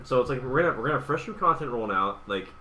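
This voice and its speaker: A man's voice: mid-range voice, animated, very fast, crowd noise. A man chatting casually about video games on a podcast, enthusiastic and slangy. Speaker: gaming podcast panelist. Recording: roomy, distant mic, faint noise.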